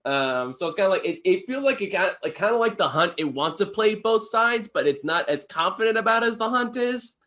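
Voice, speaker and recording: A man's voice: sits high, lively intonation, brisk, few pauses, pushed and bright. A man co-hosting a casual film review chat, speaking informally and hedging as he trails into asides.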